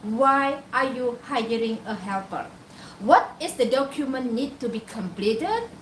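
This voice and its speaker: A woman's voice: on the high side, animated, measured pace, reverberant, recorded from a distance, a little hiss or hum, poor audio. A Javanese woman from Indonesia with an Indonesian accent, hosting a casual live-stream talk and answering her own questions as she explains. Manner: poses questions and answers them herself. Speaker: live-stream host advising employers on hiring helpers.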